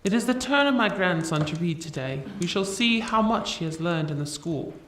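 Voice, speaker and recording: A woman's voice: on the low side, lively intonation, moderate pace, a bit bright. A woman playing a stately old abbess and grandmother in an audio drama, speaking formally and with command. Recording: echoey, distant mic, faint noise.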